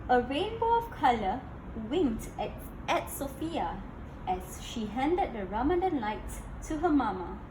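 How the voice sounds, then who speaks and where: High sing-song lady reading a kids book, really animated, echoey room w noise. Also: a little slow. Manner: sing-song, addressing young listeners with questions. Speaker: children's read-aloud host. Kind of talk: storybook read-aloud for young children.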